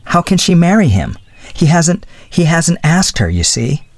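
A man's voice: on the high side, sing-song, a little slow, no room sound, voice pushed up. A man voicing an earnest, flustered young woman in a storybook, speaking in a hesitant, gushing way. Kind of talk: storybook dialogue.

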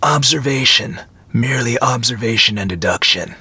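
A man playing a courteous, knowing old antique dealer in story dialogue, with a mellow, diffident manner. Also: medium pitch, moderate pace, slightly rough, heavily acted. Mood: pleased, coaxing. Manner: friendly, diffident, chuckling scholarly precision.

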